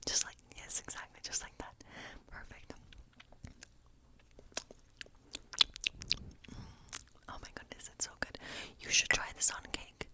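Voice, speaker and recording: A woman playing a hospitable, eccentric woodland witch in an ASMR role play, speaking in a breathy whisper with a slow, coaxing, reassuring, rambling manner. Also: pushed and bright. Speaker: ASMR performer.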